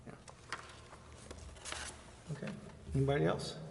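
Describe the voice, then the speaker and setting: A man presiding over a city council meeting, reading agenda items and calling votes in a brisk, businesslike way. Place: council chambers. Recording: reverberant chamber with faint hiss.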